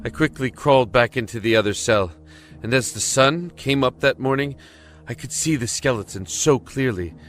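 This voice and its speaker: A man's voice: mid-range voice, fairly bright tone, faint music behind, natural voice. An actor playing a haunted young prisoner who tells of his descent into madness in a solemn, intense audio drama monologue. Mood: awed, haunted. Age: young adult. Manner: solemn, deliberate, intense storytelling.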